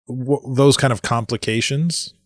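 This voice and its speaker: A man's voice: medium pitch, fairly even intonation, measured pace, no echo, clean studio sound. A man co-hosting a casual movie review podcast, talking hesitantly with many restarts. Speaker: podcast co-host.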